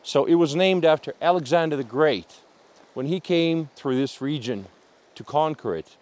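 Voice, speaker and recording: A man's voice: a little high, normal speed, darkish tone. A man hosting a travel vlog outdoors by a mountain lake, upbeat and friendly as he interviews people. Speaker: travel vlogger host interviewing. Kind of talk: on-location vlog chat.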